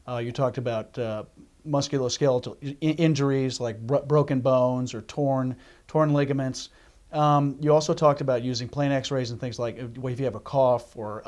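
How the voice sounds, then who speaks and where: Male host in middle age, average pitch, conversational questioner who feels his way haltingly through long questions. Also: darkish tone. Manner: halting, recapping, circling into questions. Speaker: interview host, doctor.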